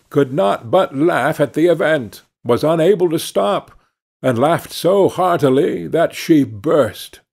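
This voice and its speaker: Unhurried male storyteller with expressive melody. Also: mid-range voice. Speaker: audiobook narrator. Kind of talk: scripted children's storybook narration.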